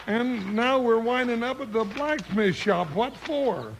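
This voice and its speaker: An actor playing a folksy, excitable, comic deputy sidekick with a rural Western American twang in a 1950s radio western, blustering and stammering when flustered. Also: male voice, very high voice, measured pace, gravelly, exaggerated voice. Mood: puzzled, excitable. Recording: old hissy 1950s radio recording.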